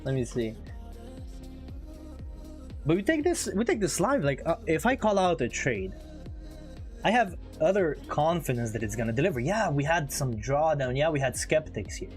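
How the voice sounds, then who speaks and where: A man's voice: fairly high pitch, sing-song, moderate pace, pauses often. A man with English as his second language, chatting casually on a live trading stream, laughing often and reading chat aloud. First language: not English. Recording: faint music behind.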